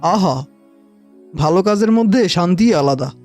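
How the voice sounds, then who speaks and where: A man plays a self-important cartoon bandit boss with a very high-pitched voice for a male. He is theatrical and bullying, yet soft-hearted underneath, and music plays behind him. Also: animated, unhurried. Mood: softened, reflective. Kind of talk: animated cartoon voice acting.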